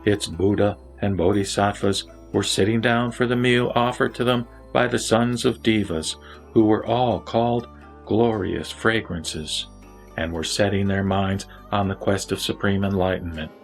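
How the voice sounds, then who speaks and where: A man reciting a Buddhist scripture as a formal, measured narrator over background music. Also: on the low side, steady tone.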